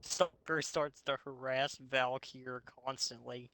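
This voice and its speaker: Bright-voiced man reading aloud, sarcastic and sweary. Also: medium pitch, steady tone, slow. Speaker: host reading fan fiction aloud. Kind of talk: mocking dramatic reading with casual commentary.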